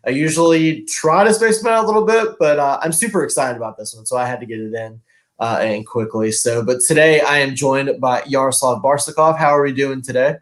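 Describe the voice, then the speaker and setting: A man's voice: medium pitch, brisk. A man hosting a casual author interview over a video call, upbeat and laughing often, with many fillers. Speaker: host.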